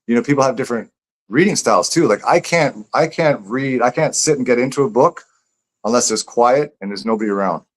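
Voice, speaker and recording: A man with an average-pitched voice talks casually as a guest author in a live interview. He is reflective and tends to ramble, circling back and repeating phrases while he works out what he means. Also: a little fast.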